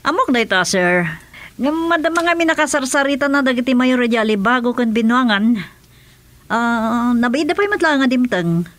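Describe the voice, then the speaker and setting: High-voiced, polite office woman. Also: expressive, normal speed, a bit bright. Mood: courteous. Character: polite, deferential female office receptionist. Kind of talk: Ilocano radio drama acting.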